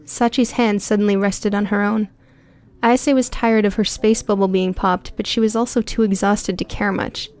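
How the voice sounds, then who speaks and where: A woman reading a prose story aloud in an even, clear storytelling voice, recorded close on a studio mic. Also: mid-range voice, normal speed. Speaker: audiobook narrator. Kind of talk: scripted narration.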